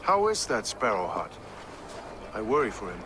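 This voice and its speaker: A man's voice: fairly low pitch, very animated, normal speed, background noise. An actor playing a blunt, crude-tongued dockside watchman.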